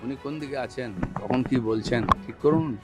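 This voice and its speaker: A man's voice: mid-range voice, normal speed, music in the background. A man speaking off the cuff as a politician making remarks to the press.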